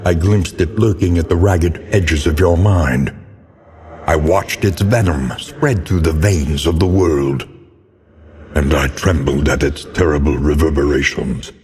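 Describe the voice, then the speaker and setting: An actor playing a grave, foreboding narrator of a doomed gothic world, solemn and portentous in a theatrical video game narration. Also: male voice, extremely low pitch, darkish tone. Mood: grave, brooding. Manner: solemn, portentous, deliberate dramatic delivery. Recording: long cavernous reverb on the voice.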